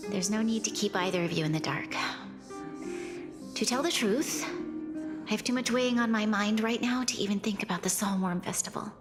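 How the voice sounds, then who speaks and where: A woman's voice: medium pitch, brisk, lightly acted. A woman voicing a dignified, burdened young ruler in a video game, formal, grave and sincere. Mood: grave, troubled. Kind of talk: scripted video game dialogue. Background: game music.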